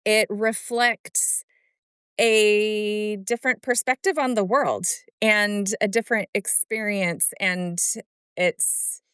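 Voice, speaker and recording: A woman's voice: mid-range voice, steady tone, slow pace, a bit bright, smooth, no echo, studio quality. A woman hosting a podcast interview, affirming her guest and reflecting on what she says. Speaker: podcast host. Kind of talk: conversational interview.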